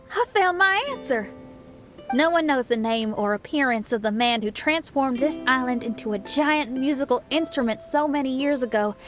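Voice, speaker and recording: A woman's voice: high-pitched, fairly bright tone. A bold young rock musician girl. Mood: proud. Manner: bold, rousing, theatrical.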